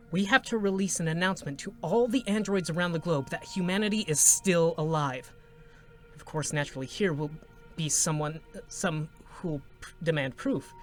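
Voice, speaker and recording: A man's voice: on the high side, a bit bright. An actor playing an anxious, secretive male scientist with a hesitant, stammering delivery in a dramatic game story reading.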